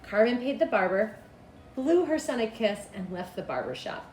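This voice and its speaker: Woman, middle-aged, storybook reader, lively intonation, echoey room. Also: a little high, faint music behind. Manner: expressive, deliberate storytelling. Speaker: school principal reading aloud. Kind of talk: children's storybook read-aloud. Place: small cinderblock school room, indoors. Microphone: camera a couple of meters away. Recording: echoey room with clear background noise.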